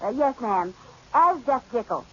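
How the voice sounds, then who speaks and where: Scatterbrained comic wife, wisecracking, flustered, high voice, muffled old radio with heavy hiss. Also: female voice, very animated, unhurried. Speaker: housewife. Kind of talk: 1940s radio comedy acting.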